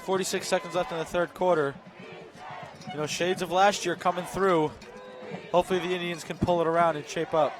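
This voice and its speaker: A young man giving relaxed, chatty color commentary outdoors at a football game. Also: high voice, background noise. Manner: relaxed, chatty, with filler pauses. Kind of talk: live sports commentary.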